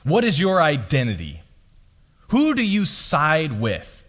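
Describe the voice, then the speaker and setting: A young man with a deep voice preaching a sermon in a church, chatty and self-deprecating as he tells stories. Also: slow. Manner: lively, conversational, self-deprecating storytelling. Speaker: pastor. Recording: long reverb of a large hall.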